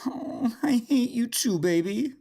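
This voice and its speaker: A woman's voice: on the high side, animated, a little slow, dark tone, breathy edge, full character voice. A woman playing a foul-mouthed, scheming villain who deals with demons, in a horror-comedy audio drama. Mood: gleeful menace. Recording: dramatic music behind the voice.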